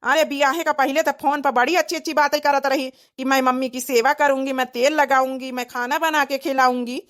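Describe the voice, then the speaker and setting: Cartoon role: woman performer as hot-headed young Awadhi village husband, high voice, indignant, complaining delivery. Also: steady tone, brisk, a bit bright. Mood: indignant, angry. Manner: indignant, complaining rural speech. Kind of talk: Awadhi comedy cartoon voice acting.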